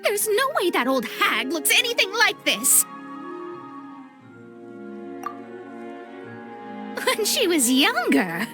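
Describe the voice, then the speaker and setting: A woman's voice: very high voice, big pitch swings, normal speed, music in the background. A woman voicing a proud, dramatic young astrologer in a video game, wry and haughty in manner. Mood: indignant. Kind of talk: video game cutscene acting.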